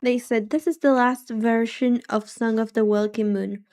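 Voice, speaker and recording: A soft-voiced woman livestreamer chatting casually and reacting, with a musing, hesitant, playful manner. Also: fairly high pitch, steady tone, moderate pace. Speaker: livestreamer, vtuber.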